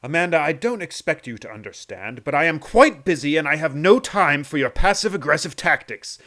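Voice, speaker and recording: A man's voice: a little high, expressive, a little fast, bright, forceful tone. An actor playing a stern, pompous middle-aged tycoon and domineering father in a radio play. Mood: cold, dismissive. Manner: formal, pompous.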